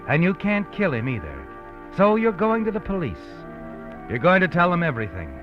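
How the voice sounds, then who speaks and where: A mysterious narrator from an old radio play speaks in a knowing, ominous tone. His pitch swings widely as he tells the hero what he is about to do. The sound is dull and lo-fi, like a 1950s broadcast. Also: wildly swooping pitch, normal speed, soft background music. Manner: second-person, knowing, ominous.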